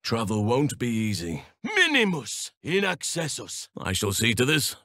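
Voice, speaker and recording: A man voicing a stoic, earnest druid devoted to nature in a video game, speaking in measured, formal fantasy phrasing. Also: medium pitch, wildly swooping pitch, sharp tone, no echo, clean studio sound, exaggerated voice. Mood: forceful incanting. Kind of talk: video game character voice lines.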